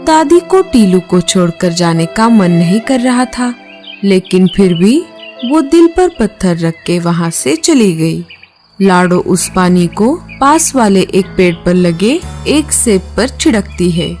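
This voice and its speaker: A woman's voice: mid-range voice, sing-song, takes it slowly, darkish tone. Female narrator for a scripted children's tale, mid-range voice over a music bed.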